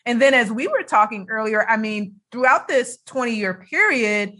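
A woman's voice: a little high, lively intonation, normal speed, sharp tone. A woman hosting a conversational faith interview, speaking in an affirming, encouraging way. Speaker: podcast host.

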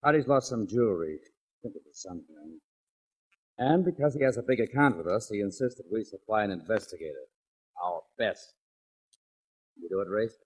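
A grumbling insurance executive in a 1940s radio serial. His voice is low and rough, with the pained air of a put-upon boss. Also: animated, fairly quick, long pauses, low-quality recording. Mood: weary, grumbling.

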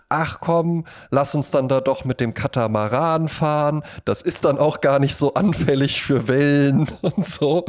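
Male podcast co-host, mid-pitched, casual rambling talker who tags his sentences. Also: darkish tone. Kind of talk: casual podcast chat with anecdotes.

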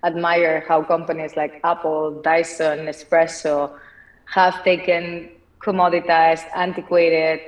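A woman's voice: sits low, little pitch movement, measured pace, recorded from a distance, poor audio. A woman from Barcelona with a Spanish accent, a company founder answering podcast interview questions in a thoughtful way with many fillers. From Spain, Barcelona.

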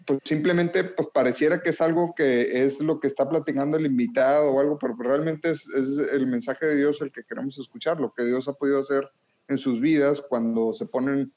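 A man's voice: medium pitch, fairly even intonation, fairly quick, a little mellow. A man with a northern Mexican accent, hosting a faith podcast in casual, earnest talk with many filler words. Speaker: podcast host. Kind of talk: casual chat.

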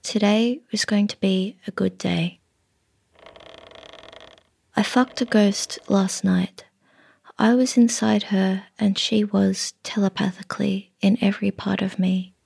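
A woman reading her own literary story in a measured way, often dropping to a breathy near-whisper. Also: medium pitch, steady tone, darkish tone, dry recording, studio quality.

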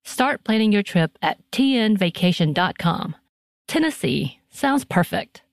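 A woman's voice: mid-range voice, sing-song, a little slow, creaky, no room sound, studio quality. An American woman delivering scripted advertising copy for a podcast. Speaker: podcast ad reader. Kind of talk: scripted sponsor read.

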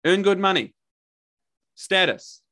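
A man's voice: high voice, expressive, slow pace, pushed and bright. A man with a New Zealand accent, a podcast guest and coach, talking candidly and energetically, swearing freely and repeating words for emphasis. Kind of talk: casual podcast conversation.

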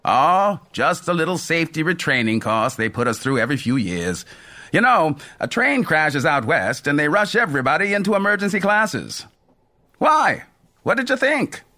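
A man's voice: fairly high pitch, very animated, normal speed, sharp tone, voice pushed up. A man voicing a cheerful, chatty old male train conductor with a folksy, teasing manner. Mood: jovial.

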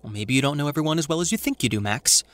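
An actor playing an anxious, awkward teenage boy in an audio drama, with a halting, dry, naturalistic delivery. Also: on the high side, lively intonation, fairly bright tone, no room sound. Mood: wry, reflective. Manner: halting, dry, self-conscious.

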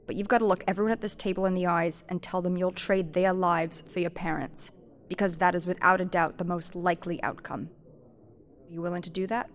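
A woman's voice: lowish voice, close to an everyday voice. An actress playing a brash, hard-bitten thief queen in a fantasy audio drama, blunt, profane and commanding, with long reverb.

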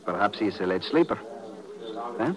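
A low-voiced actor playing an earnest agent's sidekick in a vintage radio thriller. He speaks formally and methodically, in measured phrases, with audible background murmur on the old broadcast. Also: animated, a crowd in the background, lightly acted. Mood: earnest, inquisitive. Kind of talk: 1950s radio drama acting.

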